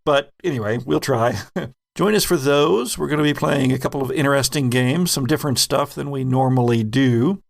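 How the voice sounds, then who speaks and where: A man's voice: mid-range voice, normal speed, vocal fry. A man hosting a podcast, chatting casually as the game master looking back on a finished season. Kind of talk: casual retrospective chat.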